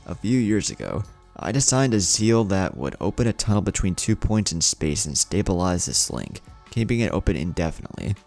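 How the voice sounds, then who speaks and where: A man voicing a confident young male ninja war leader in a fan-fiction story, explaining in a measured, assured way over music. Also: low voice, very animated, creaky, darkish tone, full character voice. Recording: music behind the voice.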